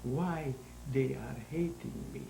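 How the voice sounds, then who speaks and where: Elderly male guest, halting phrasing, slow and creaky, echoey room. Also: medium pitch. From Hungary. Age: 90s. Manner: halting, searching for words, non-native grammar. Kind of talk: interview answers. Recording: roomy echo with faint hum.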